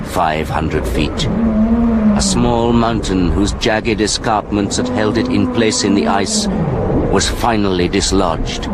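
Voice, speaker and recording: A man narrating a radio drama thriller as a grave storyteller, solemn, measured and portentous. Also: fairly low pitch, heavy background noise. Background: dramatic music.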